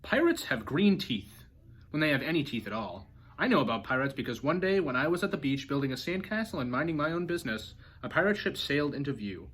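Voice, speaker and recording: A man reading a children's storybook aloud as an expressive narrator. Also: medium pitch, fairly quick, slightly rough. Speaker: storybook reader.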